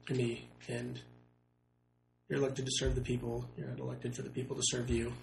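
A man's voice: medium pitch, completely flat delivery, fairly quick, vocal fry, reverberant, noisy background. A young man running for city council, answering interview questions earnestly and hedging with "I think.". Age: young adult. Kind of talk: candidate interview.

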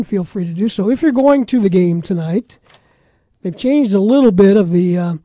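Very dark, mellow-voiced man hosting radio. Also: sits high, dry recording. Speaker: sports talk radio host. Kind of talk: casual radio sports talk.

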